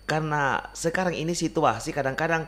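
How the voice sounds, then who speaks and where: A man from South Sulawesi with a Makassarese accent, chatting casually as a podcast guest in an animated, punchy way. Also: on the high side, brisk. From Bulukumba, South Sulawesi, Indonesia. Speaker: guest content creator.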